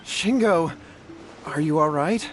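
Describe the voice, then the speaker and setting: An actor playing an anxious, remorseful young brother in a video game, hesitant and pleading. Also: male voice, a little high, takes it slowly, slight background noise. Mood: subdued, grateful.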